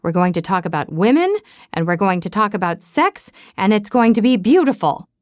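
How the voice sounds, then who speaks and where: A woman voicing a loud, tearful, gushing older aunt. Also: mid-range voice, big pitch swings, normal speed, no room sound, studio quality, natural voice.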